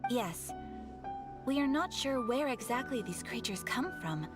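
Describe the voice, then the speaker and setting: An actress playing a courteous, formal receptionist who briefs adventurers in a measured tone, over game music. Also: female voice, on the high side, lively intonation. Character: formal adult woman guild receptionist. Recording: game audio with music behind.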